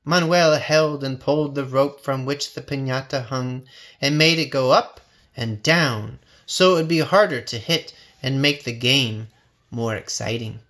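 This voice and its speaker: A man narrating a children's storybook with expressive, measured phrasing. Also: medium pitch. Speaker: storybook reader.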